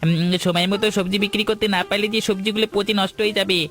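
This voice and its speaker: A man's voice: high voice, steady tone, fast, a bit bright, soft background music. A man voicing an anxious, struggling village vegetable seller in a cartoon, with a fretful, beseeching manner. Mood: worried. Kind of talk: cartoon voice acting.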